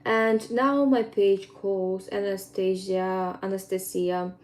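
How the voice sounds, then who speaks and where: Mellow-voiced Ukrainian woman vlogging. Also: medium pitch, fairly even intonation, takes it slowly. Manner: earnest, rambling, with frequent ums and non-native phrasing. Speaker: fitness coach. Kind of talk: casual vlog monologue.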